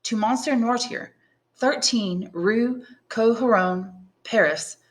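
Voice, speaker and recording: A woman's voice: a little high, takes it slowly, voice pushed up. A woman reading the part of an earnest, naive young male prisoner in candid, emphatic phrases. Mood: candid, trusting.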